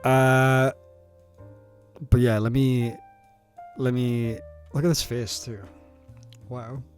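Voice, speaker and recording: A South Welsh man in his thirties talks casually over his own footage at an ordinary pitch. He sounds nervous and self-mocking, breaking into laughter and swearing freely. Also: level tone, slow pace, faint music behind. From South Wales. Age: 30s. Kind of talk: casual commentary.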